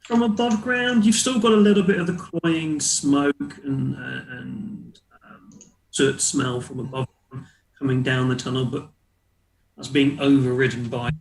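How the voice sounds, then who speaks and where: A man's voice: medium pitch, steady tone, a little slow, vocal fry. A man acting as game master, casually improvising narration and descriptions for a tabletop roleplaying game. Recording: roomy echo, faint background noise.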